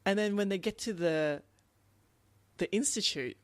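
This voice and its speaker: Female podcast co-host, conversational and animated, mid-range voice, very dry recording. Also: mid-range voice, unhurried, no room sound. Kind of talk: casual book discussion.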